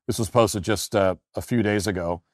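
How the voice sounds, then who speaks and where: American male commentator, low pitch, dry studio-tight sound, emphatic, argumentative, monologue delivery. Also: fairly even intonation, moderate pace, no echo. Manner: emphatic, argumentative, rhetorical questioning. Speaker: political commentary show host. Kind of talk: opinion commentary monologue, reading posts aloud.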